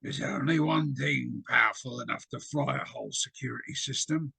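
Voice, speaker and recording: A man's voice: medium pitch, moderate pace, creaky, sharp tone, breathy edge, no room sound, heavily acted. A man voicing a hissing, chuckling spirit trapped in a guitar case, whispering his lines. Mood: ominous.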